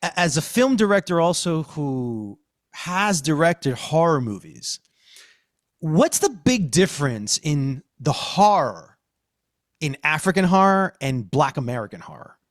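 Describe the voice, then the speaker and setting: A man with a fairly high voice hosts a podcast discussion. He speaks unhurriedly and emphatically, with very lively intonation, like someone talking through a review. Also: measured pace, no echo, studio quality. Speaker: podcast host.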